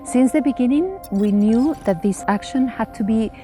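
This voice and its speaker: A woman's voice: fairly high pitch, moderate pace, music in the background. A woman coordinator answering interview questions outdoors in an articulate, composed way. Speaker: network coordinator.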